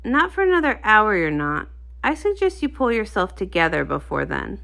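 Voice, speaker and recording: A woman's voice: medium pitch, huge pitch swings, normal speed, vocal fry, bright, forceful tone, full character voice. A woman voicing a stern female pony guard captain, speaking in a formal, ceremonious way for a storybook reading.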